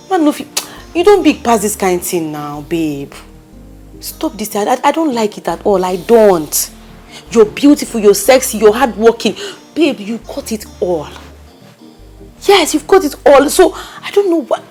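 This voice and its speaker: A woman's voice: mid-range voice, a little mellow. A woman with a Nigerian accent playing a pushy, caring friend who coaxes and pleads with emphasis. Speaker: consoling friend.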